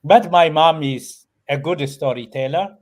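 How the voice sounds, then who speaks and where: A man from Ethiopia, a guest author and professor, answering interview questions with many pauses, fillers and restarts. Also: fairly high pitch, a little mellow.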